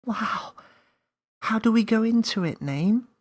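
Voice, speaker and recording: A man doing an excitable high-pitched little girl. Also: slow pace, heavily acted. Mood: amazed. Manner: breathless, hesitant wonder. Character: excitable, wide-eyed, curious young girl.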